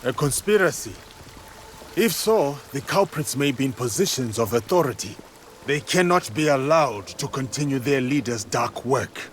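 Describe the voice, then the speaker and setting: A man voicing an earnest, steady warrior. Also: mid-range voice, normal speed, a little hiss or hum, close to an everyday voice. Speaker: game protagonist. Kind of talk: video game cutscene acting. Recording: game audio with music.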